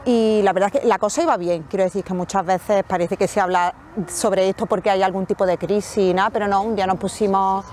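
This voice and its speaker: A woman's voice: medium pitch, fairly even intonation, a little mellow, a little hiss or hum. A woman, openly telling her relationship story in an outdoor interview. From Córdoba, Spain. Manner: open, chatty, quick asides. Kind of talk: personal account. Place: park bench outdoors.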